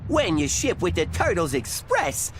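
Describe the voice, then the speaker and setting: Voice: medium pitch, sing-song, unhurried, background noise. Folksy little delivery creature, wildly swooping. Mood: cheerful. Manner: folksy, ungrammatical, salesman-like. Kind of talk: English anime game dub.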